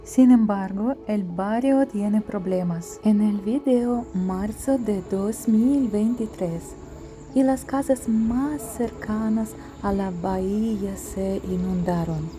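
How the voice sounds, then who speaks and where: A woman narrating her travel vlog as a scripted voice-over, reading slowly and carefully from a memorized translated script. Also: mid-range voice, dark tone. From outside Paraguay. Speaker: travel vlogger. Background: faint background music.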